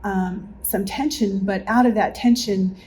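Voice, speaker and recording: A woman reflecting on church life in a group interview, thoughtful and halting, correcting herself as she goes. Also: medium pitch, fairly even intonation, a little mellow. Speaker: church member recalling past challenges. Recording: faint background room noise.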